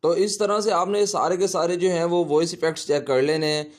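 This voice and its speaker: A man's voice: a little high, steady tone, a little fast. A man hosting a casual step-by-step app tutorial, explaining in a repetitive, instructional way. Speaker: tutorial host, teacher.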